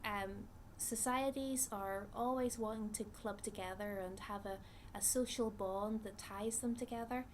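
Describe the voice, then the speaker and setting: A woman from Northern Ireland with a Northern Irish accent, a psychology researcher explaining ideas in a measured way with frequent ums. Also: mid-range voice, steady tone, a little hiss or hum. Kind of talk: explanatory interview talk.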